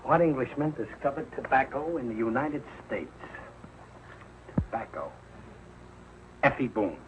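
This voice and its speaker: A man's voice: mid-range voice, animated, measured pace, natural voice. An actor playing a bumbling, exasperated middle-aged working-class father in a 1940s television sitcom. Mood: puzzled, studious. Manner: blustering, ungrammatical, hesitant when cornered. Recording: muffled, noisy old television soundtrack.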